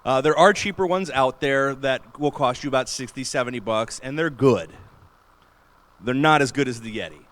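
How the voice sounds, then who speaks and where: A man with a booming voice giving an enthusiastic, chatty panel talk in a large convention room. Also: normal speed, a bit bright. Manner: enthusiastic, chatty, laughs often. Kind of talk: casual panel talk on streaming gear.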